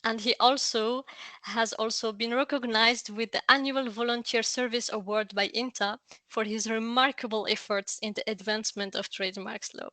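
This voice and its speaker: Bright, higher-voiced woman hosting. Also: steady tone, moderate pace, no room sound. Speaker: webinar host. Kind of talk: introducing a speaker.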